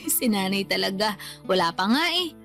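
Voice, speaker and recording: An actress playing an earnest, wistful grown daughter in a radio drama, polite and plaintive, with a Filipino accent. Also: female voice, mid-range voice, animated, unhurried, vocal fry, a bit bright, soft background music. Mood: playfully exasperated. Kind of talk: Tagalog radio drama acting.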